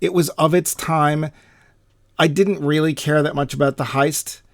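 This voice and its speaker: A man's voice: on the high side, steady tone, a little slow, no room sound. A man co-hosting a casual film podcast, giving blunt opinions with dry, wry asides. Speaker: podcast co-host. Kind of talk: casual film review chat.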